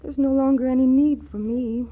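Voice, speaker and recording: An actress playing a poised, skeptical governess, expressive and questioning, in a 1940s radio comedy. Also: female voice, high voice, normal speed. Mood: poised, curious. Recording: muffled 1940s radio recording.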